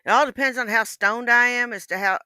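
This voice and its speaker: A woman's voice: a little high, a little fast, sharp tone, smooth, dry recording, studio quality. A woman with a Southern US accent chatting casually in a rambling, playful way. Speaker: livestreamer. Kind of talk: casual chat.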